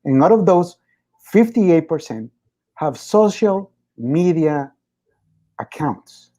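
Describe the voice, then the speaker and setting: A man's voice: on the high side, expressive, slow pace, dark tone. A man in casual chat, steadily explaining statistics with occasional fillers.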